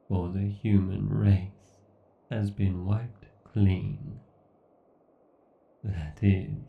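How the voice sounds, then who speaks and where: A cruel, grandiose AI overlord, acted by a man, speaks in a low-pitched male voice with a gravelly creak. Every phrase is drawn out extremely slowly with obsessive, gloating menace, as in a horror roleplay. The sound is echoey, muffled and set back from the mic. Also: far from the mic, heavily acted. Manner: slow, deliberate, menacing, grandiose. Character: obsessed, sadistic, gloating male AI god villain. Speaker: voice actor. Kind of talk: horror ASMR roleplay monologue.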